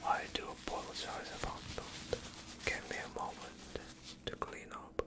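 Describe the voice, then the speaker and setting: A young man playing a polite vampire doctor in an ASMR roleplay, soothing and evasive with a sinister undertone, speaking in a hushed voice close to the mic. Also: close to an everyday voice. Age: young adult. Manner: hushed, slow, courteous, evasive. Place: quiet indoor studio, dark backdrop. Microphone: close off-screen microphone.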